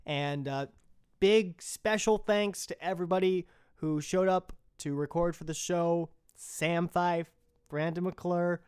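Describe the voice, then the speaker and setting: A man's voice: sits high, fairly even intonation, slow, a little mellow, clean tone, no room sound, clean studio sound. A man hosting a podcast, talking casually and off the cuff in a loose, rambling, informal way. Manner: informal, rambling, mildly profane. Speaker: podcast host and creator. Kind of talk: casual unscripted podcast talk.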